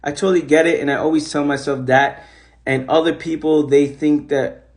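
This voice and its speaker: A man hosting a casual, animated motivational talk on a phone livestream. He repeats himself often and swears casually. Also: fairly high pitch, normal speed. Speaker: host of an Instagram live.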